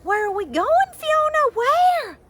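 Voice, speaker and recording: A woman's voice: very high voice, sing-song, takes it slowly, fairly bright tone, voice pushed up, full character voice. A woman voicing a frightened, pleading little girl from a storybook, urgent and emotional. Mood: panicked, pleading.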